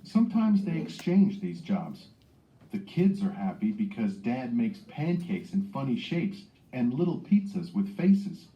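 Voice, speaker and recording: A man narrates a children's storybook from a script, with an animated, swinging intonation at a middling pitch. The recording is dull and echoes around the room. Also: a little slow. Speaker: read-aloud narrator.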